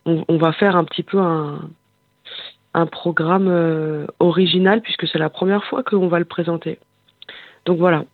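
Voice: medium pitch, fairly even intonation, moderate pace, no echo. A singer and bandleader speaking in a phone interview, hesitant and searching for words with frequent fillers. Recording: tinny phone line, dry.

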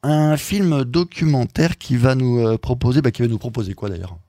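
A man's voice: medium pitch, moderate pace, mellow, no echo. A man hosting a radio interview in a studio, talking in a friendly, hesitant way with many fillers. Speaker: radio host.

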